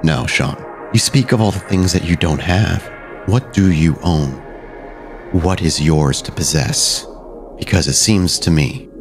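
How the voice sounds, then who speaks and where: A man acts out a dead vampire elder haunting a mirror in a roleplay game. The voice is very low and grandiose, needling with pointed questions, over faint music. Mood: scornful. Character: dead vampire sire as mocking, goading mirror reflection. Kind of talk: tabletop roleplay acting.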